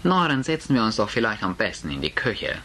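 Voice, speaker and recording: A man's voice: medium pitch, wildly swooping pitch, a little fast, pushed and bright, slight background noise, pitched above the performer's own voice. A man voicing a nervous middle-aged housewife and mother in an audiobook, anxious and placating, covering with forced cheer.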